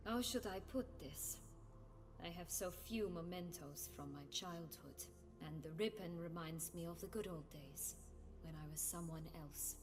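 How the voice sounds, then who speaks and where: A woman's voice: lowish voice, unhurried, lightly acted. A woman playing a proud, wry, embittered adventurer in a fantasy video game, with a guarded, sardonic manner. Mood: wistful, bitter. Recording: reverberant, music behind.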